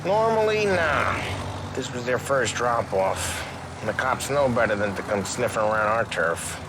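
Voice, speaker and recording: A man's voice: mid-range voice, animated, moderate pace, noisy background, close to an everyday voice. An actor voicing a cocky, wisecracking 1930s American gangster in a video game, with slangy, teasing tough-guy talk. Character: brash wisecracking 1930s gangster named Paulie, man. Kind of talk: video game dialogue acting.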